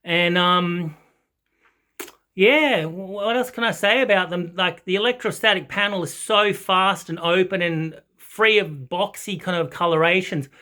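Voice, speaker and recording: An Australian man chatting casually and unscripted as an audio hobbyist, rambling and halting but enthusiastic. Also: sits high, pushed and bright. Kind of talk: casual unscripted product chat. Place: small carpet-and-wood home listening room with foam panels.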